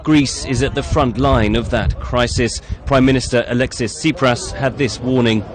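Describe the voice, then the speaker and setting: A man narrating a news report in a steady, scripted voice. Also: medium pitch, moderate pace.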